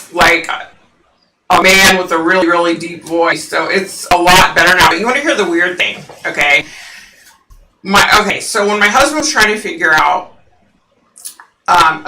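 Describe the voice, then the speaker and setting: A woman with a scratchy voice, a psychic host chatting in a rambling way with viewers on a home livestream, full of fillers. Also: on the low side. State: scratchy, husky voice. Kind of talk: casual live-stream chat with viewers. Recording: roomy, distant from the mic.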